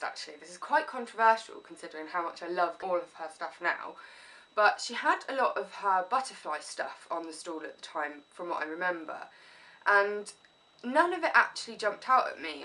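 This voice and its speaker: Woman, stuffy cold, enthusiastic rambling, crisp sharp tone, distant mic. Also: mid-range voice. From England. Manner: rambling, enthusiastic, self-deprecating. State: congested with a cold, sniffly. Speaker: vlogger. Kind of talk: casual chatty haul video. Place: room at home.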